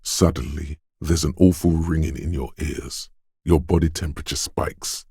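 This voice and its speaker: A man voicing a detective's quick-witted inner instinct in a video game, narrating in a measured, knowing, dramatic way. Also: a bass voice, fairly even intonation, gravelly, darkish tone, slightly rough, no echo, clean studio sound, heavily acted. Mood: tense, narrating. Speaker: voice actor. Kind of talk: second-person game narration.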